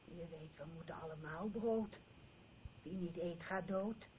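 Older obsessive mother, played by an actress: slow, plaintive, incantatory radio drama delivery, tinny hissy recording. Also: fairly low pitch, lightly acted. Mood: haunted, insistent. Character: obsessive mother, insistently and plaintively craving bread. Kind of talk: radio play acting.

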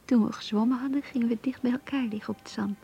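An actress playing a shy, anxious young woman in love, reading her diary in a radio drama in an intimate, hesitant, breathy voice. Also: on the high side, steady tone, fast talker, mellow, exaggerated voice. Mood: tender, yearning. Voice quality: husky, breathy, half-whispered.

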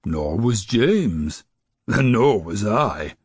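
A man voicing a gentle, kindly snowman in a storybook, speaking slowly and calmly. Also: breathy edge, dry recording, clean studio sound, full character voice. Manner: slow, low and calm.

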